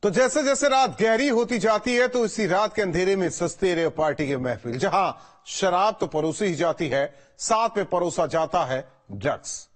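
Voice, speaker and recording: A man's voice: high-pitched, lively intonation, moderate pace, few pauses. A man presenting a scripted news introduction in a dramatic, emphatic manner.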